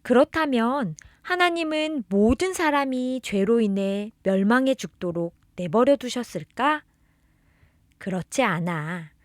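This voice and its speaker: A woman narrates a children's religious storybook in a fairly high voice, speaking directly to a beloved child. Her delivery is expressive and unhurried. The scripted lines are told as a story. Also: dry recording, studio quality. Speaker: storybook narrator reading children's catechism tales.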